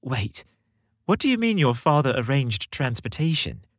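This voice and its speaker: A man's voice: mid-range voice, sing-song, normal speed, dry recording. A man voicing a devoted, earnest young boyfriend, caring and determined, in a romantic audio story. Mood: worried.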